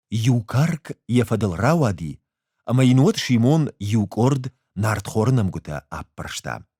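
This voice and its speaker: A man reading a short story aloud as an expressive literary narrator. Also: lowish voice, no echo, clean studio sound.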